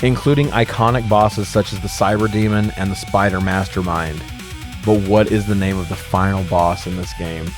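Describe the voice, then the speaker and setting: Low-voiced man, casual sweary co-host. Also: steady tone, normal speed, music in the background. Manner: sweary, informal. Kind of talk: casual banter and quizzing about movies and games.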